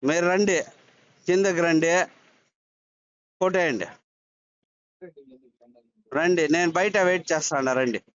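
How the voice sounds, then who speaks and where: A man's voice: fairly high pitch, slow pace, pauses often, muffled recording. A man, speaking in a pleading, insistent way and repeating himself as he makes his case. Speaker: local businessman making a complaint. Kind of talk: pleading personal account and argument. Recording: noisy outdoor recording with traffic.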